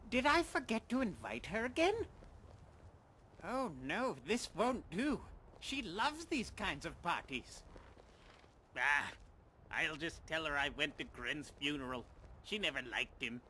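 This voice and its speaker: A man playing a high, animated scatterbrained party guest. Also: measured pace, long pauses, a little hiss or hum, full character voice. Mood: flustered, cheerful. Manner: rambling, absent-minded. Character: jovial scatterbrained husband chattering at a party. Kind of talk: video game character dialogue.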